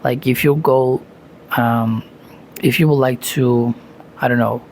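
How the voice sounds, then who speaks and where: Argentine guy, spanish first language, gravelly voice, pretty monotone, says "you know" a lot, bit of faint hiss behind. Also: mid-range voice, slow pace, low-quality recording. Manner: leans on "you know" and "um", restarts phrases. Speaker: language learning youtuber. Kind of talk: casual talking-head advice.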